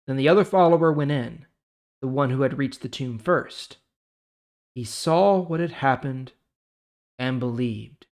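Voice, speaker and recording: A man with a mid-range voice hosts a devotional Bible reading. He moves between storytelling and casual commentary, leaning on key words with dramatic pauses. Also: medium pitch. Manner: conversational, dramatic pauses and emphasis. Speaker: host of a Bible reading series.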